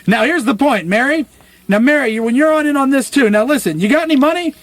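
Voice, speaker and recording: Man acting a high-pitched, brash young wheeler-dealer. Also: animated, fast. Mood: excited, pushy. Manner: hearty, boastful, pushy. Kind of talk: amateurish radio play parody.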